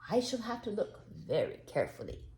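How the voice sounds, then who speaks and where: A woman voicing a kindly, hopeful old widower who muses gently to himself in a storybook reading. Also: mid-range voice, huge pitch swings, a little fast, reverberant, slight background noise, voice pushed up. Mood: thoughtful. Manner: gentle, thoughtful musing to himself. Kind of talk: storybook character voice.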